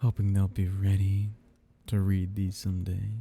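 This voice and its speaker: Man playing a dark, husky, seductive vampire captor. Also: monotone, soft background music, full character voice. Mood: teasing, mocking. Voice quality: husky, breathy, low murmur with gravelly edges. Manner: slow, teasing drawl with soft whispered dips. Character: seductive, teasing, menacing male vampire noble holding a captive hunter. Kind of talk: intimate ASMR roleplay audio drama.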